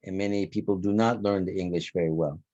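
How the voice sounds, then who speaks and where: Low-voiced male instructor on a dull dry call. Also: quick pace, no echo. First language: Turkish. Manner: relaxed, thinking aloud with many fillers. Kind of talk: classroom feedback and lecture.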